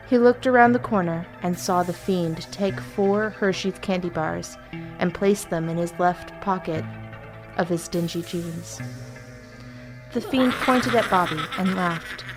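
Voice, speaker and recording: A woman narrates a horror story at a very unhurried pace. Her phrasing is measured and deliberate, with frequent pauses, and her pitch sits in the middle. Music plays softly behind her. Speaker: story reader.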